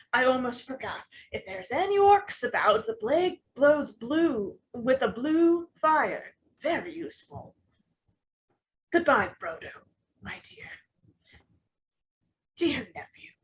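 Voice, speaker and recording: Over a clearly noisy background, a woman plays an elderly hobbit uncle in a fantasy drama reading. The voice is high and unhurried, fond and wistful, with a weary halt in it. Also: exaggerated voice. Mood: frail, pleading. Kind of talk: script table read.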